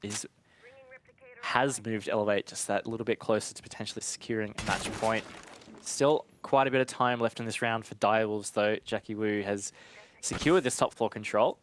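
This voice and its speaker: A man giving analytical esports commentary in a conversational, measured way. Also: fairly low pitch, steady tone, slight background noise.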